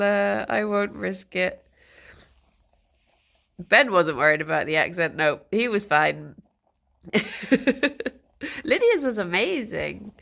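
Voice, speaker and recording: Brief: woman streamer, snuffly, slow gravelly chat. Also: lowish voice, fairly bright tone. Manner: greets viewers by name, giggly. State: snuffly from a cold. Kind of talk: casual chat with live chat.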